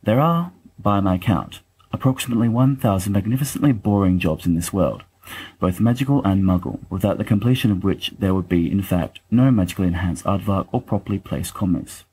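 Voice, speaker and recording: Male reader as a fussy, bookish young man in an audio drama; dark, low voice, dryly self-deprecating. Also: a little fast, husky, low-quality recording. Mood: dryly musing. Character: wry bookish young male letter-writer, fussy and affectionate. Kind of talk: dramatic reading of letters.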